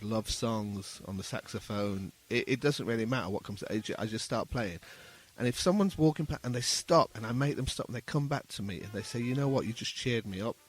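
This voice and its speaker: A middle-aged man talking casually as an interview guest, earnest and repeating words as he gets going. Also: lowish voice. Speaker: musician guest, saxophone busker.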